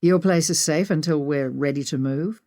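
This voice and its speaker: A woman's voice: low-pitched, normal speed, mellow. Woman reading as a confident male adventurer. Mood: businesslike. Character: confident, teasing male adventurer leading the trip.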